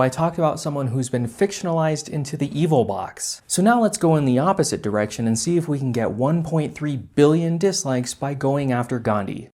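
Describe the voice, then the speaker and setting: A man's voice: medium pitch, darkish tone. A man narrating a scripted video essay with sarcastic, wry asides.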